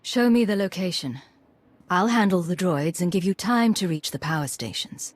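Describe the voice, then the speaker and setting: A composed female agent for a video game. Her voice sits fairly low, and she keeps it cool, measured and to the point. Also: animated, no room sound. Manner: measured, businesslike.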